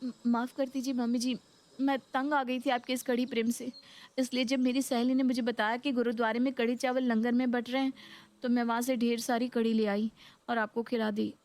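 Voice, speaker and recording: A woman's voice: a little high, flat delivery, fairly quick, a little mellow, close to an everyday voice. A woman voicing a young Indian daughter-in-law, deferential yet fed up, explaining herself in a cartoon story. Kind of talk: Hindi cartoon story voice acting.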